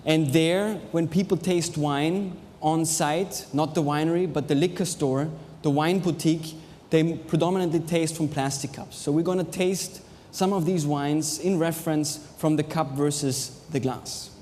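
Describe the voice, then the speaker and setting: An Austrian-born man whose English has an American sound, hosting a wine tasting before a live audience in short, pausing phrases with dry jokes. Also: a little high, fairly even intonation, darkish tone, a little hiss or hum. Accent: American-learned English over an Austrian base. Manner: showman's pauses, chopped phrases, dry jokes. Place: large hall with a live audience. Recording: reverberant hall, faint ambience.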